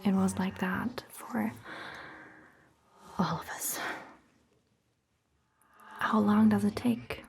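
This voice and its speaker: A woman playing a gentle, reassuring vampire doctor in a whispered, breathy ASMR roleplay, soothing and patient. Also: mid-range voice, little pitch movement, slight background noise. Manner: slow, soothing, patient explaining.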